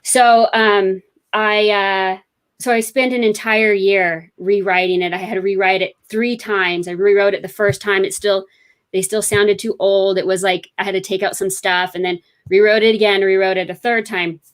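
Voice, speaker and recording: A woman's voice: mid-range voice, level tone, normal speed, low-quality recording. A woman author being interviewed, telling her story in a chatty, rambling way full of fillers. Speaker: author guest. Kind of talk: casual interview chat.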